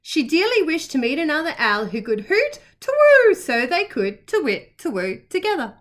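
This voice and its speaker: A woman reads a children's picture book aloud. Her voice is high and very animated, and she phrases the story carefully and expressively for young listeners. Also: normal speed.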